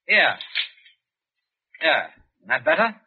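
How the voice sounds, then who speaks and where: A man's voice: on the high side, sing-song, very slow, slightly rough, exaggerated voice. An actor playing a suspicious young British man in a 1940s radio drama, clipped, probing and wryly insinuating, with a British accent. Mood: suspicious, needling. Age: young adult. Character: shrewd, suspicious young British colonial man, needling politely. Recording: muffled vintage 1940s radio recording.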